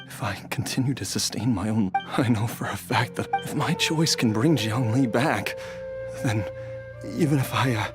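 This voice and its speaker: A man playing an ailing, resigned husband and father in a game drama, gentle, halting and weary. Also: medium pitch, music in the background, close to an everyday voice. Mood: grave.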